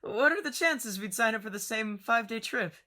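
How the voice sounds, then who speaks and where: A woman voicing a timid young man who stammers and speaks quietly, in an amateur audio drama. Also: a little high, expressive, a little fast. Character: shy sickly young man passenger.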